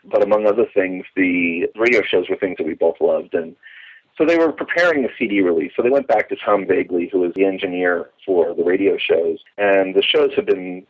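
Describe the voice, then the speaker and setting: A man's voice: low voice, breathy edge. A middle-aged man giving an enthusiastic, detailed, digressive account in a conversational interview, as a longtime fan reminiscing. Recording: dull lo-fi phone or call audio.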